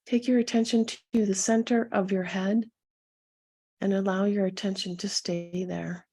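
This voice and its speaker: A woman leading a guided meditation as a coach, speaking softly and soothingly to her listeners with a gentle, husky delivery. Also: medium pitch, little pitch movement. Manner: soothing, gentle, unhurried instruction with pauses.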